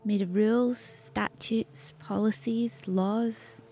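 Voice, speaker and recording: A grave poet, played by a woman, recites deliberately in a radio play. The voice is medium in pitch and softly dark, over quiet music. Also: steady tone. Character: grave female inhabitant reciting rules of the corridor.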